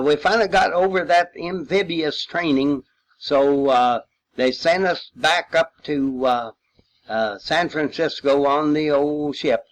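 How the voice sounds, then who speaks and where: An older man from Missouri with a rural Missouri accent, reminiscing as a war veteran in a folksy, halting, rambling way. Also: fairly high pitch, low-quality recording. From Missouri, United States. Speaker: World War II veteran storyteller.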